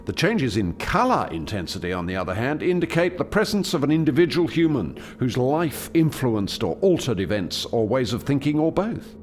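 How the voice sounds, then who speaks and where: A man's voice: medium pitch, very animated, moderate pace, lightly acted. An actor playing an earnest alien scientist in a radio play, hesitant and deferential as he explains and defends his work. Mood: calm, explanatory.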